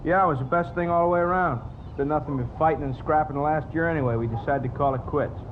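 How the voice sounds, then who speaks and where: A man's voice: fairly high pitch, fast talker, lightly acted. A man playing an offhand, guarded snack stand owner, speaking in clipped colloquial phrases in an old radio drama. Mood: nonchalant. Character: offhand, guarded male hamburger stand owner, estranged husband. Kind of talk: 1950s radio drama acting. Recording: muffled old radio recording, clear background noise.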